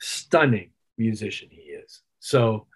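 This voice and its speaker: A man's voice: on the low side, very animated, slow pace, husky, low-quality recording. A man speaking as a musician guest in an interview, reflective and conversational, repeating phrases for emphasis. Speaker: interview guest, keyboard player.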